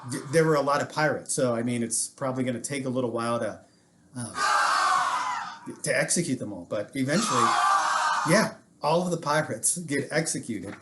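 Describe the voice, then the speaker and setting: An American man with a medium-pitched voice works as a storytelling teacher. He narrates history in an animated, informal style, slipping in jokey asides as he goes. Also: brisk, heavy background noise.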